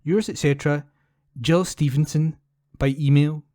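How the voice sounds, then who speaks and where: A man's voice: on the high side, fairly even intonation, a little slow, mellow, dry recording, clean studio sound. A man reading newspaper letters aloud as a volunteer for a talking newspaper, recorded at home. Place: at home, indoors.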